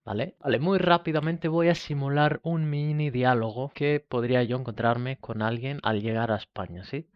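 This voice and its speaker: A man from Spain teaching a beginner Spanish lesson, slowly repeating each example phrase. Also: medium pitch, clean tone, dry recording, muffled recording.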